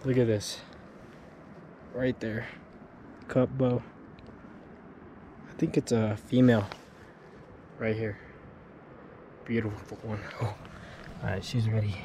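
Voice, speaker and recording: Young man, unhurried, soft-spoken fishing commentary, chest camera outdoors, running water and wind behind. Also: lowish voice, flat delivery. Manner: quiet, admiring, talks to viewers while fishing. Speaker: fishing vlogger. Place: outdoors by a mountain lake and stream. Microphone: body-mounted action camera.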